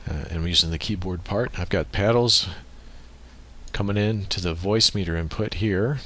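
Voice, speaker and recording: A man with a very low, bright and forceful voice walks through a software setup. He explains things methodically in an informal way, often thinking aloud as he goes. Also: moderate pace. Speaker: tutorial presenter. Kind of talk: informal software screen-recording tutorial. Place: indoors at a computer, voice-over on screen recording.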